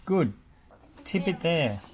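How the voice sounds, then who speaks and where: A man's voice: on the high side, sing-song, slow, a little hiss or hum, pitched above the performer's own voice, rough recording. A man voicing a cheerful, bossy builder who calls out instructions in a children's storybook. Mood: upbeat, bossy. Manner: calling out instructions loudly. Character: cheerful, bossy male builder, quick to worry.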